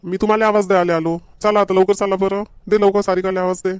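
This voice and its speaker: Guy doing naggy village dad in a cartoon, rural maharashtrian accent, kinda high voice, dry sound. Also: little pitch movement, quick pace, clean tone, dry recording, close to an everyday voice. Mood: impatient, hurried. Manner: nagging, repetitive urging. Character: fussy, hurrying middle-aged village father. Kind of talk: homemade cartoon comedy dialogue.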